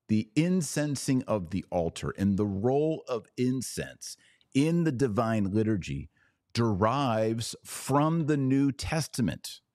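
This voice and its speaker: A man's voice: mid-range voice, a little mellow, no echo, studio quality. A man hosting a podcast, lecturing with emphasis and repeating his points for stress. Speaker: podcast host. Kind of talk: podcast commentary on church liturgy.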